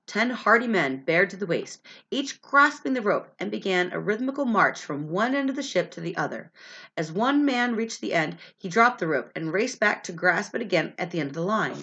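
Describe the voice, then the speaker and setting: A woman's voice: on the low side, fairly bright tone. A woman with a stuffy, congested voice, reading a story aloud in a storytelling style. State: sinus congestion from allergies. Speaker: reader of an audiobook chapter. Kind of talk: read-aloud storytelling with chatty intro. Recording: dull, lo-fi sound.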